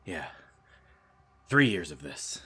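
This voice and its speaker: A man acting a world-weary, deadpan space marine reduced to janitor, telling his story as dramatic audio drama narration. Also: sits low, takes it slowly, a little hiss or hum, heavily acted. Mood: weary, sardonic. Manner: sardonic, deadpan, brooding. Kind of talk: dramatic first-person scripted narration.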